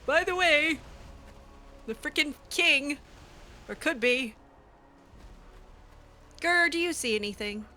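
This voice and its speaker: A woman's voice: sits high, expressive, takes it slowly, pauses often, pushed and bright, soft background music. A woman giving casual, in-character roleplay commentary on a video game, sarcastic and exasperated. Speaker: gamer playing in character.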